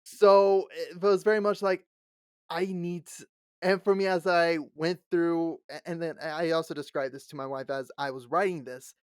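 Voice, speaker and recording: A man's voice: a little high, fairly even intonation, normal speed, smooth, no room sound. A man in his late twenties, co-hosting a podcast in casual chat, laughing often and acting out the people he quotes. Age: late 20s. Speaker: podcast co-host.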